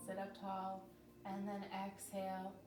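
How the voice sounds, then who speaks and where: Woman yoga teacher, slightly scratchy voice, gentle steady cues, flat even delivery, roomy echo, soft music behind. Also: medium pitch, a little slow. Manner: calm, gentle cueing with frequent throat clearing. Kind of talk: guided yoga instruction. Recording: roomy echo from a distant mic with background music.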